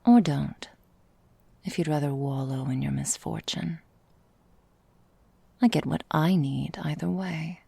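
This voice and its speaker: Casting: actress as seductively menacing female vampire for ASMR roleplay. Very gravelly fry, breathy and husky, slow and deliberate, melancholy. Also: low voice, dark tone, no room sound, heavily acted. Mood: seductive, cool. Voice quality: breathy, husky, half-whispered. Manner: slow, deliberate, archaic formal phrasing. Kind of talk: ASMR roleplay, intimate dramatic monologue.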